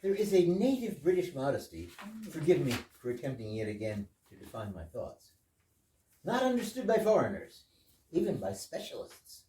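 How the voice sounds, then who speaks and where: Animated, pedantic gentleman guest played by a man. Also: fairly high pitch, normal speed. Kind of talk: amateur readers theater, absurdist comedy. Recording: roomy, distant mic, faint noise.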